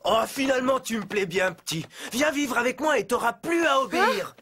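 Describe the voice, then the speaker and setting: A man's voice: very high voice, lively intonation, moderate pace, pushed and bright, breathy edge, heavily acted. A man voicing a brash, mocking male stray dog in a children's cartoon, with a slangy, swaggering, taunting delivery. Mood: admiring. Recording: music under the voice.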